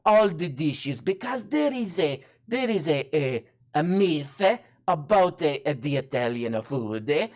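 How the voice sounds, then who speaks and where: A performer voicing a self-styled Sicilian version of a celebrity chef, with a deliberately bad fake Italian accent and halting speech full of "uh" pauses. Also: mid-range voice, big pitch swings, a little mellow. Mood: earnest. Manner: hesitant, halting with many uh pauses. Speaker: comedy guest character.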